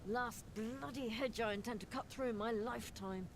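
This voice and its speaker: A woman's voice: a little high, normal speed, a little hiss or hum, close to an everyday voice. A woman playing a grumbling, sarcastic adventurer in a video game cutscene. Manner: sarcastic, dry.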